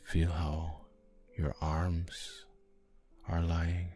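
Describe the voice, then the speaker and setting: A man with a low, mellow voice leads a guided sleep hypnosis over music. His delivery is soothing and gentle, with little rise or fall in tone. He leaves long pauses and softens at times toward a breathy near-whisper. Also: completely flat delivery. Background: background music.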